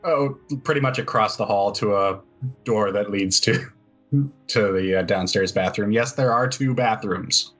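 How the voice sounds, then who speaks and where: Slow-talking man narrating a tabletop game. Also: on the low side, fairly bright tone. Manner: casual, conversational narration. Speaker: game master. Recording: music behind the voice.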